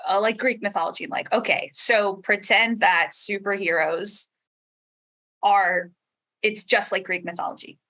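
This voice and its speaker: A young woman with a very bright, forward voice at an ordinary pitch. She talks as a guest on a casual panel and explains things like a teacher putting questions to a class.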